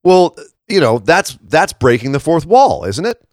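A man hosts a casual talk podcast in a froggy, slightly hoarse voice. He makes his points emphatically and often checks in with tag questions. The sound is dry, with little room around him. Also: on the high side, big pitch swings, measured pace, darkish tone, no echo. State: tired froggy morning voice after a late shift. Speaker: podcast host. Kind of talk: casual wrestling commentary chat.

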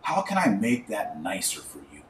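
A man hosting a religious commentary podcast, speaking in an emphatic, didactic, preachy way with deliberate stresses and repetition. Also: on the low side, wildly swooping pitch. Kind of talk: preachy commentary to camera or microphone. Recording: roomy echo, distant mic, faint noise.

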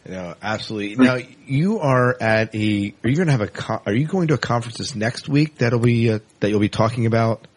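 A man's voice: mid-range voice, expressive, brisk, few pauses, a little hiss or hum. A man hosting a podcast and casually interviewing a guest. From US East Coast.

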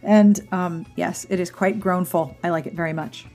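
A woman with a fairly low voice hosts a podcast from a home studio, chatty and playful as she thanks listeners and tells a groaner of a joke. Soft music plays faintly underneath her. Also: steady tone, normal speed. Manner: chatty, playful, warm delivery of jokes and thanks. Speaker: podcast host. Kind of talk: casual podcast outro with sponsor read.

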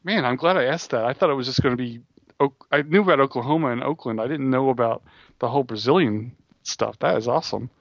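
Playful male interviewer, mid voice, flat muffled dry audio. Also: medium pitch, fast, no room sound. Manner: playful, laughs easily. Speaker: podcast co-host asking questions. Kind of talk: casual comedic chat.

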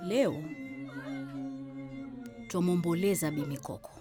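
A woman's voice: fairly low pitch, animated, slow. A woman playing a solemn storyteller and mourner in an audio drama, declaiming theatrically with emotion. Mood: grieving, bitter. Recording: music behind the voice. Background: music.

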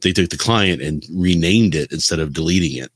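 Casual male gaming podcast co-host, deep register, explanatory storytelling delivery, dry sound. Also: fairly quick, breathy edge, dry recording. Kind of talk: casual chat about video games.

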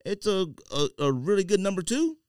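A man's voice: high-pitched, sing-song, brisk, a little mellow, clear, resonant tone, no echo, clean studio sound. A man hosting a podcast, talking sports and sneakers in a conversational, opinionated, slangy way. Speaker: podcast host. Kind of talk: casual sports and sneaker chat.

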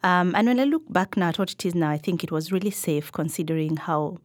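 A middle-aged woman from Kenya, a podcast guest answering in a thoughtful, measured way with some fillers. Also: fairly low pitch, no echo, studio quality. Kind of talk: reflective interview answers.

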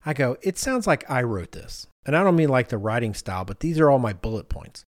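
A man in his fifties speaks as the host of a conversational podcast. His voice sits at a middling pitch, recorded dry with little room around it. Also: very animated, fairly quick, no room sound. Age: 50s. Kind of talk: conversational discussion, reading out responses.

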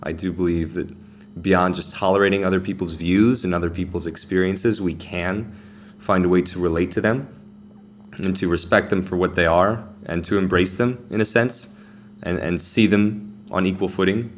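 Deep-voiced young man, earnest. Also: steady tone, normal speed, a little hiss or hum. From Virginia. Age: young adult. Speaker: student organization president. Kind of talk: interview soundbite.

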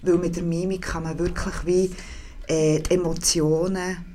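Low-voiced woman who is a native signer. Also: little pitch movement, a little slow, mellow. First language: Swiss German Sign Language. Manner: careful, searching for words. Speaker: deaf sign language translator. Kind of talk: casual chat.

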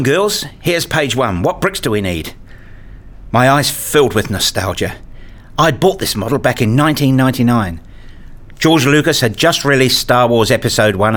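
A man narrating a first-person radio story in a measured, reflective way, also voicing the father's lines. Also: medium pitch, a bit bright. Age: middle-aged. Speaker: narrator and lead actor playing a father.

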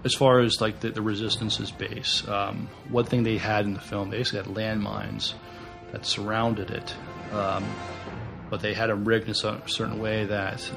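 Flat, creaky-voiced man, halting. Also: fairly low pitch, over music. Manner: hesitant, halting. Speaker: podcast panelist. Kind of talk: casual chat.

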